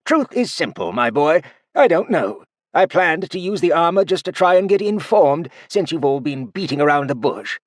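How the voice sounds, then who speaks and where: A man's voice: a little high, sing-song, normal speed, no room sound, studio quality, pitched above the performer's own voice. A man voicing a sly, playful old male cultivator who teases with feigned innocence. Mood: wry.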